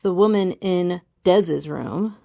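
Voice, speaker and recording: Actress as a skeptical dry woman in an audio play, slow, a bit low. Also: vocal fry, warm tone, no room sound. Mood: matter-of-fact.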